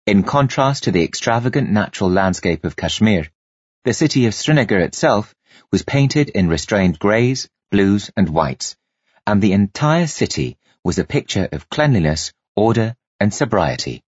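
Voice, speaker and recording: A man narrating an audiobook from a script in a measured, expressive, dramatic way. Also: lowish voice, husky, no echo, muffled recording.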